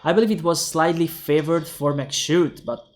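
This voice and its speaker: A young man with an Italian accent casting a mobile game tournament alone, calling plays quickly and thinking aloud to viewers. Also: on the high side. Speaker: esports caster. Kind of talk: solo esports play-by-play commentary.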